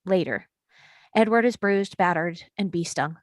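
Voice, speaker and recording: A woman's voice: lowish voice, a little slow, dry recording, clean studio sound. A woman reading stage directions as the narrator of a screenplay table read, in a scripted storytelling style.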